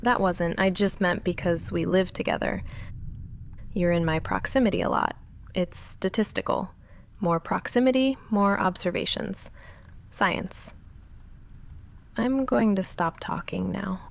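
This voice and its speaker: Woman playing a soft, creaky-voiced awkward vampire roommate. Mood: flustered deadpan. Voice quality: soft, breathy whispering mixed with low murmured talk. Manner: halting, deadpan, self-conscious rambling. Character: awkward, flustered young female goth vampire roommate, secretly affectionate. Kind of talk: intimate ASMR roleplay, scripted.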